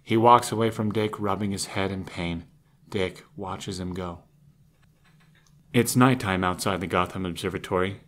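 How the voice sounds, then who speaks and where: A man's voice: low voice, normal speed, fairly bright tone. A man reading scripted narration as the narrator of an audio drama.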